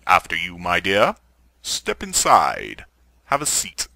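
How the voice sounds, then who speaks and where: Man playing pompous, gravel-voiced mad scientist. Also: sits low, wildly swooping pitch, slow, pushed and bright, slight background noise, full character voice. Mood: courteous, sinister. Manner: pompous, formal, wordy. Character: sinister, genteel mad scientist doctor with pompous diction. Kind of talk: parody horror radio drama.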